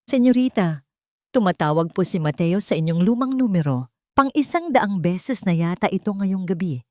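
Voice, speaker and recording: A woman's voice: medium pitch, animated, moderate pace, darkish tone, dry recording, clean studio sound, lightly acted. A woman voicing a worried, deferential male attorney in an audio drama, polite and concerned.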